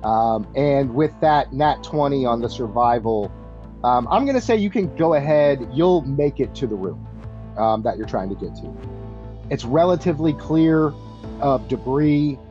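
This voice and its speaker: A man acts as game master with a mellow, unhurried delivery, pausing often as he improvises the scene. His voice sits at a middling pitch. The sound is slightly dull and lo-fi, with music behind him. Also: expressive. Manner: halting, pauses between phrases. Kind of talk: improvised tabletop game narration.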